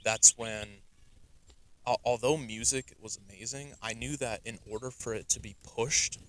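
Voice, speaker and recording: A man's voice: medium pitch, moderate pace, rough recording. Earnest young man, casual podcast talk. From United States. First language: Russian. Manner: earnest, animated, frequent fillers like "you know". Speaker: podcast guest, musician and entrepreneur.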